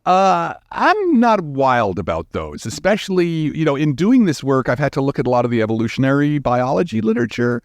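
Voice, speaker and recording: A man's voice: a little high, sing-song, no room sound, clean studio sound. A man speaking as an expert guest in a podcast interview, explaining informally and emphatically, with restarts and checking tags. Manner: informal, emphatic, halting restarts with frequent "you know" and "right?". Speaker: interview guest, scientist and book author.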